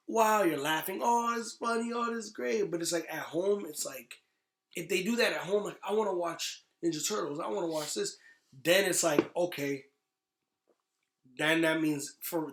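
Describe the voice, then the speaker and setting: The echo of the room surrounds a man with a somewhat high voice. He chats casually as a podcast guest, rambling and slangy, and leans emphatically on his points. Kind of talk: casual chat. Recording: echoey room.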